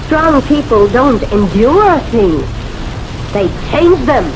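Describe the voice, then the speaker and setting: A woman's voice: fairly high pitch, very animated, slow pace. A woman playing a bold, scornful young drifter girl who preaches and taunts in a radio drama. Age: young adult. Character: brazen, free-spirited young drifter girl, scornful and domineering. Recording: old radio recording with clear noise.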